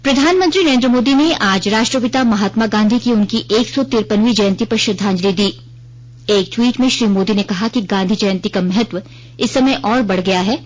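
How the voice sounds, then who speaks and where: A woman reading a scripted radio news bulletin in a formal, measured way. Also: medium pitch, dull audio.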